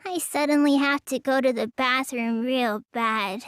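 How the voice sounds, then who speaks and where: A woman voicing a petulant, proud teenage girl mage for an English video game, with a childlike, listless manner that can turn excitable. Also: high voice, measured pace, smooth, dry recording, clean studio sound. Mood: listless, grumbling. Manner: childlike, listless drawl with sudden excitable bursts.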